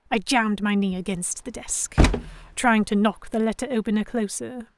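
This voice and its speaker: A woman playing an anxious, indignant young captive who narrates her ordeal in expressive, first-person storytelling for an audio drama. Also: a little high, noisy background.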